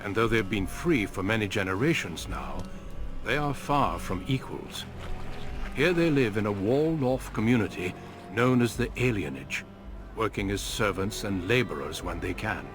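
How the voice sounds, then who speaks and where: An actor narrating as a solemn storyteller in a scripted fantasy video game introduction. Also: male voice, fairly low pitch, animated, normal speed, over music, lightly acted.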